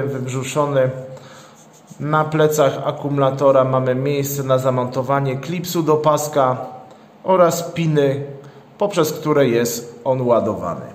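A man giving an unscripted, matter-of-fact unboxing narration as a product reviewer, going through things methodically. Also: mid-range voice. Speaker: product reviewer, channel host. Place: indoors, at a tabletop. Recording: echoey hard-walled room, long reverb.

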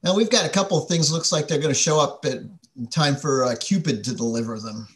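A man with a somewhat high voice makes casual small talk. He is talking with fellow performers over a group video call. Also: fast talker. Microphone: computer on a video call.

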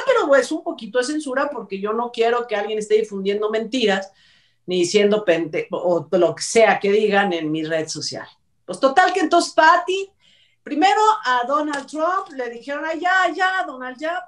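Casting: Mexican woman, high voice, chatty and sarcastic show host, heard a little far from the mic. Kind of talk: informal opinion commentary on entertainment news. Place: indoor room, video call.

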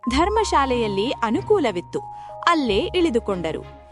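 A woman's voice: a little high, very animated, unhurried, over music. A woman narrating a moral folk tale in a scripted storytelling style.

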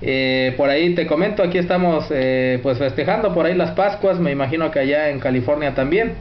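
A man's voice: fairly high pitch, a little fast. A Mexican man chatting as an amateur radio operator, courteous, using radio codes and formal sign-offs. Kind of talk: radio contact chat, reporting signal and equipment. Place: indoors at a radio set. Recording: roomy, dull, faint noise.

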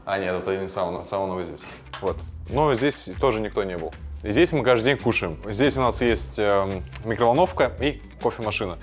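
A man's voice: lowish voice, expressive, moderate pace, soft background music. A man hosting a vlog, chatting casually as he tours a rented house and interviews his team. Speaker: vlog host and team owner. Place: large rented house indoors.

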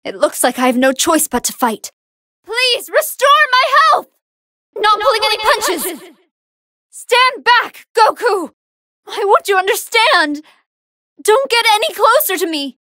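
A good-hearted android fighting girl from an anime video game. The voice is extremely high and bright, swinging widely in pitch. The delivery is polite, earnest and emphatic. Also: very animated, unhurried, dry recording, studio quality, heavily acted. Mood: earnest, troubled, then pleading, urgent.

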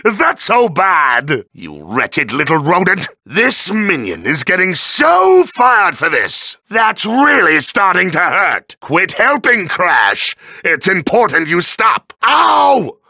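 Whiny evil cartoon boss for a video game, super gravelly, drags out words, blustery and bratty, dry close sound. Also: male voice, sits high, wildly swooping pitch, takes it slowly, hardly any pauses, pushed and bright, no echo, heavily acted. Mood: annoyed whining. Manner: petulant, blustering, theatrical taunting. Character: bratty evil villain boss spirit with new body. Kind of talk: exaggerated video game villain voice acting.

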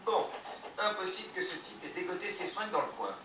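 A man's voice: sits high, very animated, a little fast. An actor voicing a cocky male raccoon master thief in a game dub, wry and laid-back. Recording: roomy, distant, noisy TV sound.

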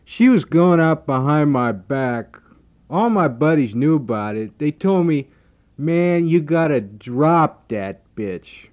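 A man's voice: a little high, expressive, slow pace, darkish tone. An actor playing a crude, boastful regular guy in a satirical radio comedy.

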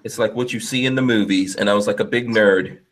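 Podcast host, man, forties, from Guyana; animated joking storyteller on a headset video call. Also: on the low side, fast. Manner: animated, joking storyteller who laughs often. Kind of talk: casual chat. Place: home studio room.

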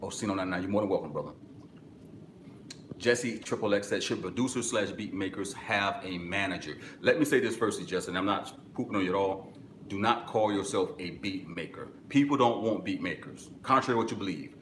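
A middle-aged man with a deep voice, hosting a live-stream webinar and giving direct, instructive answers to viewer questions from a small office. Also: brisk, roomy sound, noisy background. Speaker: music business webinar host. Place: small office with corkboard, indoors.

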